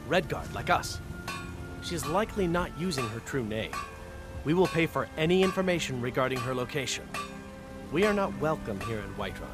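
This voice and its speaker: A man plays a guarded, haughty mercenary from a foreign land in a fantasy game. His delivery is clipped and deliberate at a medium pitch, with clear music behind. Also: close to an everyday voice. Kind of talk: fantasy video game acting.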